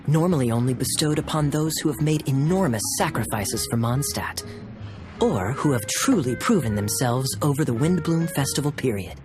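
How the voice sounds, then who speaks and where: Over clear background music, a suave young captain played by a man talks with theatrical flair. His mid-pitched voice rises and falls freely, wry and teasing, in polished game-character style. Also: lively intonation, normal speed, natural voice. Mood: suave, amused. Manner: smooth-talking, wry, theatrical. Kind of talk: English video game voice acting. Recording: music playing behind the voice.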